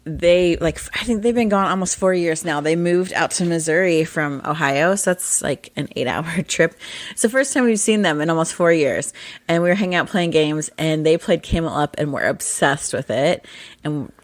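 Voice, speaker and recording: A woman co-hosts a casual podcast with a husky, somewhat low voice. She rambles in a chatty way and laughs often. The sound is dry and close. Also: moderate pace, dry recording. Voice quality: husky morning voice. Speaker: podcast co-host. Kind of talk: casual chat.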